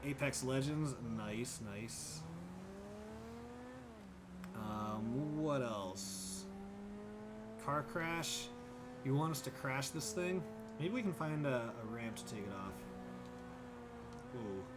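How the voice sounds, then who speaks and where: A man's voice: mid-range voice, expressive, slow, pauses often, very noisy. A young man livestreaming a driving game, chatting casually, thinking aloud and thanking viewers by name. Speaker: streamer. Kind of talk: casual livestream chat while gaming.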